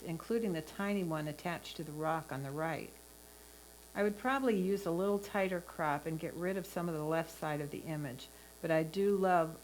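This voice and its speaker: Woman, very low pitch, photo competition judge, measured instructive critique, encouraging, faint mains hum. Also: low-quality recording. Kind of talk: prepared image critique.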